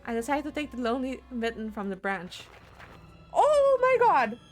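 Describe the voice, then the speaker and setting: A woman's voice: high-pitched, wildly swooping pitch, normal speed. A woman game streamer reading a horror visual novel aloud, dramatically, with spontaneous asides. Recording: music behind the voice. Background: game music.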